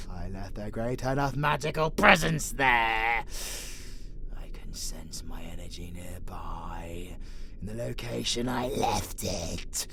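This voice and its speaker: A man playing a sadistic, gloating vampire monster in an audio roleplay, with a gravelly, menacing, foul-mouthed delivery that savors every word. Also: medium pitch, bright, forceful tone, heavily acted. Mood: excited, scornful. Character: sadistic, gloating male vampire monster who relishes slaughter.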